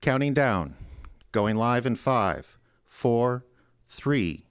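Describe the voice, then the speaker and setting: A man's voice: medium pitch, very animated, drawn-out words. A man hosting and narrating a radio theater show in a scripted announcer style. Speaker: host and announcer.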